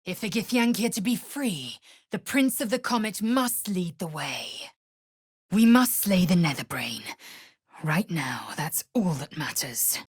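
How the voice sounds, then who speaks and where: Husky, imperious alien warrior woman. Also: on the low side, very animated, unhurried, dry recording, clean studio sound, heavily acted. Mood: resolute. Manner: haughty, clipped, commanding formal delivery. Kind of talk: video game character voice acting.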